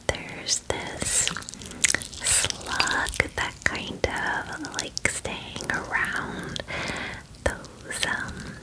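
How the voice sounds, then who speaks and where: A friendly female dragon acted out by a woman pampers a guest in an ASMR roleplay. She speaks in a soft, breathy whisper, very slowly and gently. Her manner is caring and casually chatty, with small hesitations. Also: low-quality recording.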